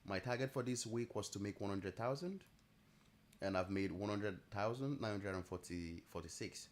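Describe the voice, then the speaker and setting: A man with a mixed Nigerian and Italian accent, chatting casually and thinking aloud on a live trading stream, easygoing and quick to laugh. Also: fairly low pitch. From Nigeria, raised partly in Italy. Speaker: trading livestream host. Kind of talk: casual live-stream chat answering viewers.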